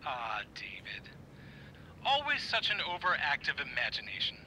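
A suave, menacing party host from an audio drama , played by a man. His voice is extremely bright and cutting, with lively, mannered politeness. A faint noise runs behind it. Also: on the high side, normal speed, full character voice, low-quality recording. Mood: coolly menacing.

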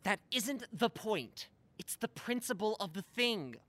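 Woman acting a sharp, swooping, petulant son. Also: mid-range voice, animated, measured pace, dry recording. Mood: indignant. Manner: sarcastic, indignant. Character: petulant, sarcastic punk son, young and theatrical. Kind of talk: audio drama acting.

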